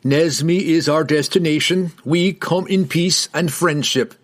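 A man's voice: fairly high pitch, takes it slowly, voice pushed up. A man voicing an earnest, resolute human warrior who speaks firmly and formally in a fantasy audiobook.

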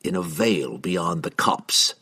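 Brief: man voicing a remorseless assassin, low and quiet, measured and menacing. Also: slightly rough, full character voice. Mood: cold. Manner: slow, even, menacing. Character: cold, ruthless male assassin.

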